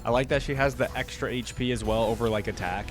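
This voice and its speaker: A man's voice: mid-range voice, fast talker, faint music behind. A man hosting a casual reaction video, informal and thinking aloud as he comments.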